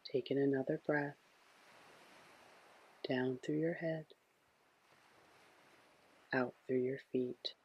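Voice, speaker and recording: Slow, husky man guiding sleep meditation. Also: mid-range voice, steady tone, lo-fi sound. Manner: soothing, hushed, gentle instruction. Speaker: meditation guide.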